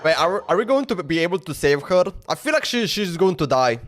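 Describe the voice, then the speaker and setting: A man's voice: a little high, sing-song, a little fast, fairly bright tone, slight background noise. A man reacting live to a video game as a streamer, chatting casually and bluntly. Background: faint game music.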